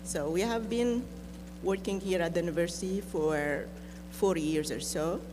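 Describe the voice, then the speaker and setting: A woman's voice: lowish voice, unhurried. An older woman from Egypt with an Egyptian accent, introducing herself informally as a panelist. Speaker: nuclear engineer, panelist. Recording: noisy room with clear hum.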